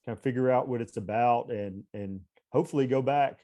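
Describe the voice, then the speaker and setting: A man's voice: mid-range voice, fairly quick, warm tone. A man in his thirties with a mild Texas accent, chatting as a guest and rambling with fillers and restarts. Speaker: guest, baseball coach. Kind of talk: casual conversation.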